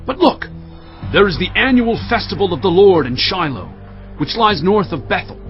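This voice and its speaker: A man acting an earnest elder of the Israelite assembly, declaiming and instructing in a dramatised scripture reading. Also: fairly high pitch. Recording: dull, radio-like lo-fi sound.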